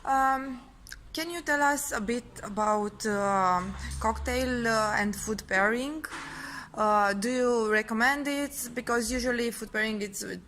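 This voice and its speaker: A woman with a Romanian accent, interviewing someone in a candid, slightly halting way. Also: on the high side, fairly bright tone, a little hiss or hum, poor audio.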